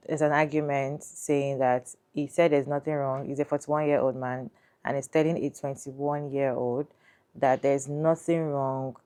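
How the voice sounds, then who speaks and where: A woman hosting a reaction commentary channel, talking deliberately and putting questions to her viewers. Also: rumbling low, dark tone.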